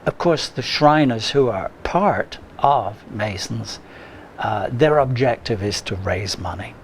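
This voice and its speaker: A man answering interview questions slowly and haltingly, with frequent uhs. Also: medium pitch, expressive, slight background noise. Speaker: guest, lodge member. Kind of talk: casual interview.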